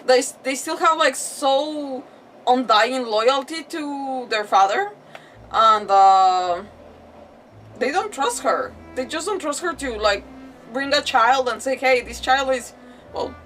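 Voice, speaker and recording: A woman streaming a game and chatting casually, rambling and halting as she repeats her words. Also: on the high side, a bit bright, a little hiss or hum. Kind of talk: casual chat, reacting to a game. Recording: distant mic, game music behind. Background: game music.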